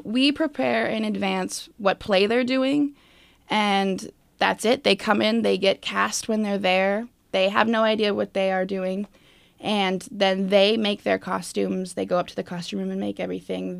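A woman's voice: medium pitch, steady tone, measured pace, creaky, no echo. A woman being interviewed, giving casual, plainspoken explanations as a guest. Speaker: interview guest, theater youth board leader.

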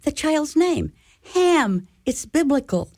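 A woman's voice: sits high, sing-song, slow pace, a little mellow, full character voice. A woman playing an earnest, hesitant nun in a community radio play. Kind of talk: community radio drama acting.

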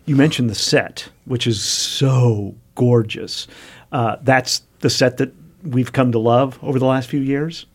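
A man's voice: mid-range voice, fairly even intonation, measured pace. A man hosting a radio interview, asking questions in a conversational way.

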